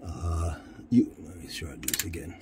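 A man giving an informal hands-on equipment demonstration, haltingly thinking aloud as he handles the gear. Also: very deep voice. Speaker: radio hobbyist demonstrating equipment. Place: inside a military-style off-road vehicle. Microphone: handheld camera, one hand free. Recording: noisy handheld camera audio.

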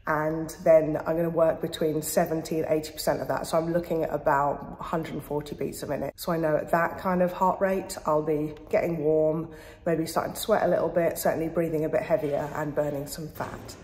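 A woman's voice: low-pitched, little pitch movement, brisk, a little mellow. A woman chatting casually to camera in a wry, self-deprecating way as she trains. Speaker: vlogger, injured athlete training. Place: small hotel gym, indoors. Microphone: camera at arm's length. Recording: echoey, reverberant hard-walled room.

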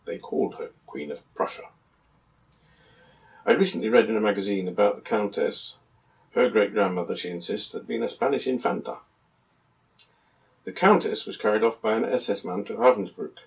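A man in his seventies reading a literary passage aloud in a measured, articulate storytelling manner. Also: fairly low pitch, creaky. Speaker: reader of a book passage. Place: small book-lined room at home, indoors. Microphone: camera a few metres away. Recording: distant camera microphone in a room.

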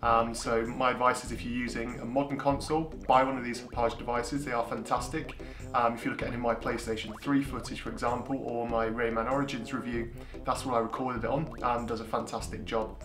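Young man, deep voice, ums a lot, camera mic, roomy echo, guitar backing. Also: a little fast. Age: young adult. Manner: frequent ums, steady explaining tone. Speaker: retro gaming YouTuber. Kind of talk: casual tutorial talk to camera. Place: small indoor room. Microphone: camera-mounted shotgun mic. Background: guitar music.

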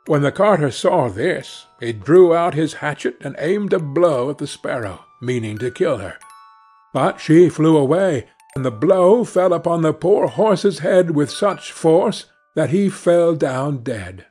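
A man narrating a fairy tale as a scripted audiobook, in a measured, expressive storytelling manner. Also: on the high side, slightly rough, soft background music.

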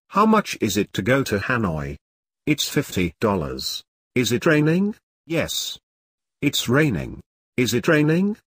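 Man, drill reader for a language lesson: slow, husky, very lively pitch, dry close mic. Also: no echo. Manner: reads each question and answer twice, measured and deliberate. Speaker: English teacher reading practice dialogues. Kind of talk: slow scripted drill reading.

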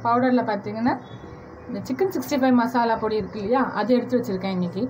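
A woman's voice: a little high, a little mellow. Woman explaining a home cooking recipe. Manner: unhurried step-by-step instructions, addressing viewers directly. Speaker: cooking channel host. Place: home kitchen at the stove. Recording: noisy home recording.

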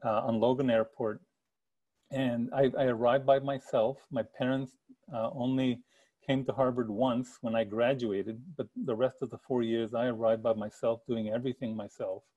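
A man's voice: medium pitch, fairly even intonation, normal speed, mellow, muffled recording. A middle-aged man from the El Paso area, an author giving an earnest talk about his past over a video call from an attic room at home. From El Paso area, Texas. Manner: earnest, gestures a lot, frequent restarts. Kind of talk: personal storytelling. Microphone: video call with earbuds.

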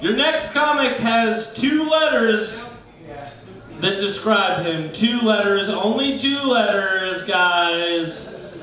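A man's voice: high voice, animated. A man hosting an open mic on a small bar stage, hyping the crowd and introducing the next comic into a handheld mic. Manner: hypes the crowd, drawn-out shouted intros. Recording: very echoey bar room.

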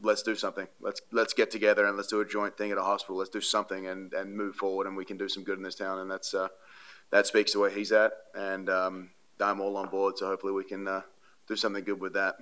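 A man's voice: deep voice, completely flat delivery, fast, fairly bright tone. A man, answering reporters' questions at a podium in a press room, rambling and earnest with many fillers and restarts. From Australia. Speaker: baseball pitcher at a postgame press conference. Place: press room before a sponsor backdrop. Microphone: podium microphones.

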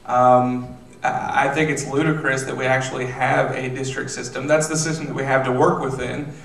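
A man in his thirties, a state legislator, answering questions on a panel with measured, filler-filled speech into a handheld mic. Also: medium pitch, flat delivery, vocal fry, fairly bright tone. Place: theater stage. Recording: roomy, distant, faint noise.

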